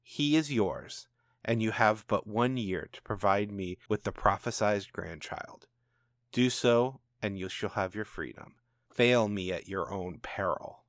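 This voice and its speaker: A man voicing a calm, knowing, commanding supernatural father in a storybook reading, speaking in a measured, taunting way. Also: on the low side, no echo, studio quality. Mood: menacing. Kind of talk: storybook narration dialogue.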